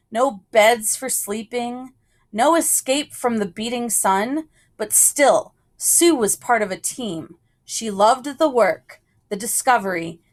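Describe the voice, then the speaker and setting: A woman reading a children's picture book aloud in a storytelling style, with measured phrasing and deliberate pauses. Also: a little high.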